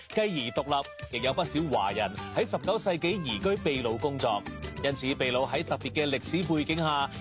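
A man with a Hong Kong Cantonese accent, presenting and narrating a scripted documentary. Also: mid-range voice. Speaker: host and narrator. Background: music.

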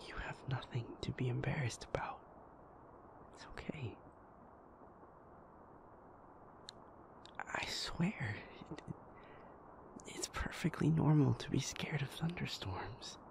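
A man playing a shy, anxious, gentle young boyfriend in an audio roleplay, stammering, hesitant and apologetic in a soft-spoken way. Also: medium pitch, expressive, measured pace, creaky, whispered. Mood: tender, soothing.

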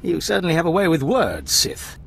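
High-pitched, blustering military officer. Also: male voice, huge pitch swings, normal speed, vocal fry, fairly bright tone, slight background noise, heavily acted. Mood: wry, approving. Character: wry, blustering Imperial army officer and anxious father. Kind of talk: video game character dialogue.

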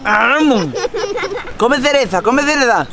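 A man in his thirties with a Spain Spanish accent, chatting casually and excitably as a playful family vlogger. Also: very high-pitched, slow pace, gravelly. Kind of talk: casual vlog chat. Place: seaside house terrace and pool, outdoors. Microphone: handheld camera mic. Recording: noisy outdoor action-camera audio.

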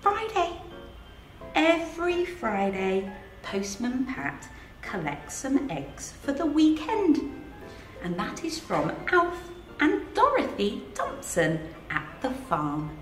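Animated middle-aged woman reading a picture book. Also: high voice, takes it slowly. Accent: English. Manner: animated, sing-song, asks children questions. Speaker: head of early years, reading aloud to children. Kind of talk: children's storybook read-aloud. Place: in front of a green screen with book pages. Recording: echoey room, faint music behind.

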